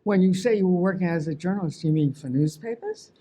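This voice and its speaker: Mellow-voiced woman hosting a talk show. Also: fairly low pitch, quick pace. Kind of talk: interview conversation.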